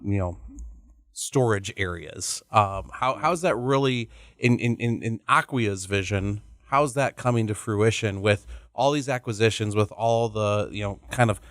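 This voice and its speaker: A man hosting a podcast, asking a long, halting question with many restarts. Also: fairly low pitch, dry recording, clean studio sound. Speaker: podcast host. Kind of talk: long-winded interview question.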